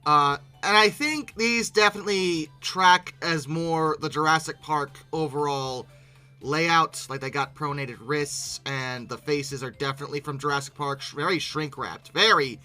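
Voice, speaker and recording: Bright, incredulous reaction commentator. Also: medium pitch, soft background music. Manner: exasperated, incredulous, animated with abrupt outbursts; halting, repeating words when flustered. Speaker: video creator hosting a reaction commentary. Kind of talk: informal, unscripted reaction commentary.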